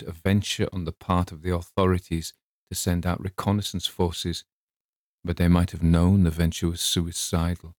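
Deep-voiced man narrating a story. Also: darkish tone, dry recording, clean studio sound. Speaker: audiobook narrator.

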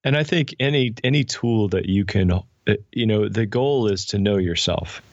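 A man's voice: fairly low pitch, moderate pace, no room sound. A man speaking reflectively and conversationally as an interview guest, on a somewhat lo-fi recording. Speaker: podcast guest, spiritual director and author. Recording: dull, lo-fi, dry sound.

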